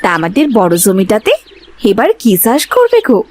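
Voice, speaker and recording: A woman's voice: a little high, wildly swooping pitch, moderate pace, darkish tone, slight background noise. A woman voicing a caring, mildly teasing village housewife in a cartoon, with homely, familiar talk.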